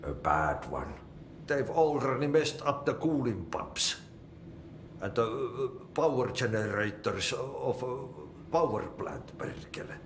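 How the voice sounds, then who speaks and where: An actor with a Finnish accent playing an eccentric, cheerful janitor who talks slowly in a sing-song way and mangles idioms. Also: male voice, mid-range voice, very animated, roomy sound, slight background noise. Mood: rambling. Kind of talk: video game cutscene acting.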